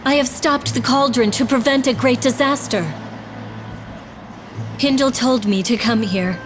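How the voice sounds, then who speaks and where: A woman's voice: high voice, a little slow, background noise. A woman playing a resolute young exiled princess in a video game, speaking formally and earnestly. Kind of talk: dramatic video game voice acting. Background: game music.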